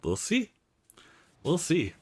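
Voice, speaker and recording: A man's voice: fairly high pitch, huge pitch swings, slow pace, a little mellow, slightly rough. A man hosting a let's play, commenting casually on a visual novel as he goes. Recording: music under the voice. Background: game music.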